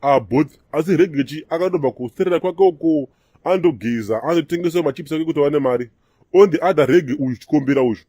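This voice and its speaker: A man's voice: fairly high pitch, quick pace, mellow, husky, dry recording. A man voicing a self-important, quarrelsome Zimbabwean party comrade in a comedy cartoon, full of slogans and swearing. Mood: grumbling.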